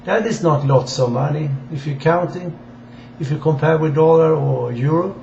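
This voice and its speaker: A man from Sweden with a Swedish accent gives an informal political address in halting, deliberate non-native English. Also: a little high, creaky, a little mellow. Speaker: amateur political campaigner addressing viewers. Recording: dull, echoey room with faint hiss.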